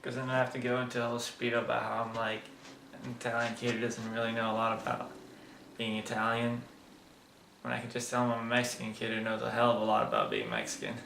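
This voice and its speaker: Young man, interviewee, gravelly, unhurried, echoey noisy room. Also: medium pitch. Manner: relaxed, hesitant, with fillers. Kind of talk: casual interview answers. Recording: echoey room with loud background noise.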